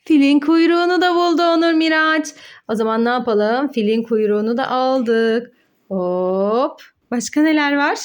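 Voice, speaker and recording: A woman's voice: high-pitched, highs cut off. A woman talking as a mother hosting a children's play video, encouraging and full of questions and exclamations. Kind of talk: playful educational chat with child and viewers.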